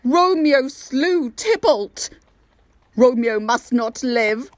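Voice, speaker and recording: A woman's voice: sits high, animated, very slow, no room sound, heavily acted. A woman playing a grieving, vengeful noblewoman demanding justice, imperious and declamatory in a Shakespeare audio drama.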